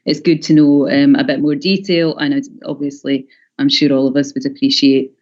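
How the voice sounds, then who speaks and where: A local councillor making measured, diplomatic remarks in a formal meeting, choosing words hesitantly. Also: medium pitch, level tone, a little mellow. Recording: muffled video-call audio.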